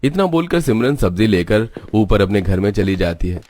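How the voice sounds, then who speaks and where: A man's voice: fairly low pitch, sing-song, normal speed, darkish tone. A man reading scripted narration for a cartoon story as its storyteller. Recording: music behind the voice.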